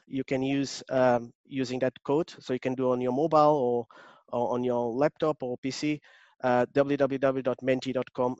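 A man's voice: mid-range voice, darkish tone, dry recording. A man from Portugal with a strong Portuguese accent, presenting a remote workshop as an agile coach, polite and hesitant with many fillers. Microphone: computer mic on video call.

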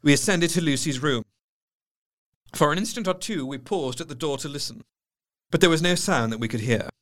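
An actor playing a grave, shaken Victorian doctor, narrating a diary in measured, literary style for an audio drama. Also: male voice, a little high, lively intonation, a bit bright. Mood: grave, horrified. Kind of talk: dramatic scripted first-person narration.

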